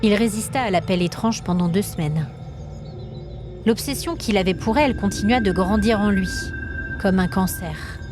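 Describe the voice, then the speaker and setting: A woman's voice: medium pitch, normal speed. Woman storyteller, mid-range voice, scripted dramatic narration, suspenseful delivery, prominent background music.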